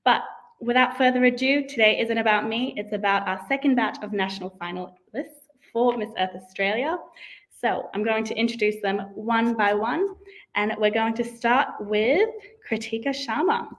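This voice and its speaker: A young woman hosts a live online event over a video call. Her voice sits a little high, and her delivery is upbeat and formal, like a practiced presenter. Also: moderate pace. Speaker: host. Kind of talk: scripted livestream hosting.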